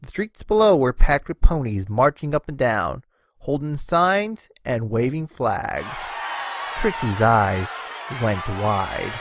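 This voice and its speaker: A man's voice: medium pitch, sing-song, slow pace, noisy background. A man narrating a scripted story expressively, as the narrator of an audio fan fiction.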